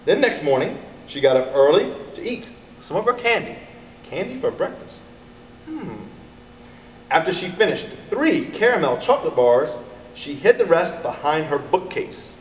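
A man's voice: fairly high pitch, sing-song, normal speed. A middle-aged American man reading a picture book aloud to children, expressively and addressing them directly. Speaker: elementary school educator reading to pupils. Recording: echoey, distant mic, background noise.

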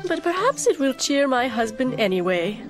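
Magistrate's wife, animated series: haughty, fretful, dramatic sighs, high swooping voice over music. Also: female voice, normal speed. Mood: coaxing. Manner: theatrical, sighing, dramatic. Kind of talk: animated cartoon acting. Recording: music under the dialogue.

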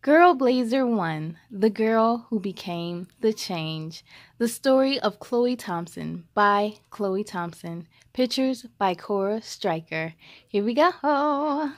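A young American woman hosting a children's story time, reading aloud expressively with playful, animated delivery and friendly asides. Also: mid-range voice, slow pace, no room sound, studio quality. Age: young adult. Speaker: host of a children's read-aloud show.

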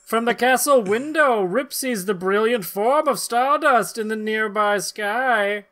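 A man's voice: high voice, measured pace, pushed and bright. A man in his thirties hosting a podcast, giving an amused, theatrical reading of comic-book narration with casual banter. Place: small room at home, video call.

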